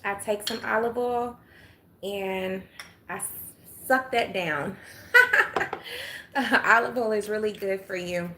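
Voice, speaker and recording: A woman's voice: medium pitch, fairly even intonation, slow pace, long pauses, pushed and bright, slight background noise. An older woman from Atlanta with a Southern accent, chatting casually with viewers on a phone livestream, folksy and talkative, sometimes dropping to a murmur. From Atlanta, Georgia. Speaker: livestream host. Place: home room with sunlight.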